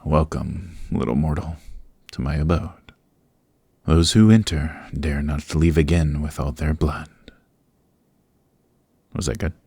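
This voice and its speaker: A man playing a playful, theatrical vampire over a century old in a chatty ASMR roleplay, with a gravelly, husky voice and rambling asides. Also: very deep voice, slow pace, pauses often, dark tone, heavily acted. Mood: mock menacing. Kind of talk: ASMR roleplay, close and conversational. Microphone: close, dry microphone.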